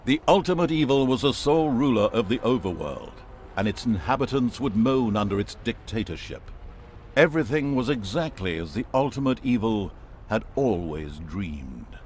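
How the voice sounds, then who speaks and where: An actor voicing a sardonic, self-mocking storybook narrator of a fantasy video game, with pompous theatrical delivery. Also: male voice, mid-range voice, lively intonation, moderate pace, darkish tone, slight background noise, natural voice. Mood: wry, grandiose. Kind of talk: dramatic scripted fantasy narration with tongue in cheek.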